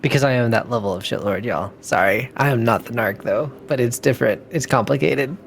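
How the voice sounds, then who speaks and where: A man's voice: medium pitch, fairly quick, vocal fry, a little hiss or hum. A man chatting casually as a player in a tabletop game, describing what his character does.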